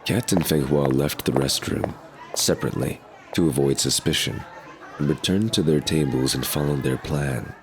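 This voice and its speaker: A man narrates an audio drama in an extremely low voice. There is clear noise running behind his scripted storytelling. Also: moderate pace, crowd noise. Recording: clear background noise under voice.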